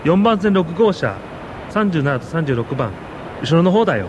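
Ein Schauspieler mit japanischer Muttersprache spielt einen verspielten, leicht verlegenen jungen Reisenden im natürlichen Plauderton eines Hörspiels. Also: male voice, a little high, sing-song, fast. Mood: relaxed. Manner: casual, playful, chatty. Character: playful young Japanese traveller, easily embarrassed boyfriend. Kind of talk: naturalistic radio drama dialogue. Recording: noisy on-location urban ambience.

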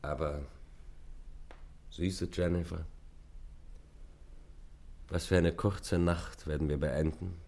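A young traveler in an old radio drama, low in pitch. He is intimate and deliberate, a teasing lover who stays wistful and evasive. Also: steady tone, gravelly, darkish tone, exaggerated voice. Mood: wry, wistful. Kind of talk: classic German radio play acting.

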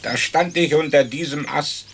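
An actor playing the plain-spoken ghost of a hanged horse thief in a German radio play, deliberate and pointed. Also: male voice, on the high side, creaky, a bit bright, slight background noise. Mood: reproachful.

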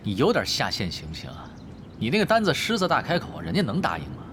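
Sardonic gamer, very expressive pitch. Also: male voice, fast talker, background noise. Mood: dry, practical. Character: cool, sardonic younger male pro gamer, teasing strategist. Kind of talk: Chinese audio drama acting.

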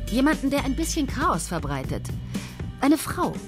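Bossy head writer, animated and brisk, music under it. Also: female voice, sits high. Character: commanding woman head writer Paula. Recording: studio radio play over music.

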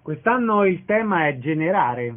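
A man's voice: fairly high pitch, big pitch swings, moderate pace. A man hosts a radio interview show. The sound is narrow and tinny, like a phone line. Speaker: radio host. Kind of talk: interviewing guests on a radio programme.

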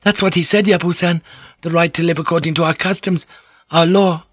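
Man voicing a hesitant Japanese noblewoman, high-pitched and deferential. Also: fairly even intonation, brisk, no room sound, lightly acted. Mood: grave, agitated. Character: troubled Japanese noblewoman interpreter, deferential and anxious.

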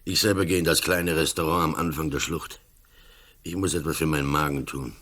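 An actor playing an old, composed police commissioner in a radio play, speaking calmly and drily. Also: male voice, very deep voice. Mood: dry, matter-of-fact. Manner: calm, deliberate, dry.

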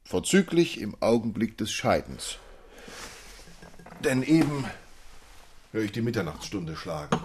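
A man playing a gallant gentleman storyteller in a formal, earnest literary audio drama. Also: on the low side, very animated, a little hiss or hum. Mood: reflective, convivial. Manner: formal, ornate, measured phrasing.

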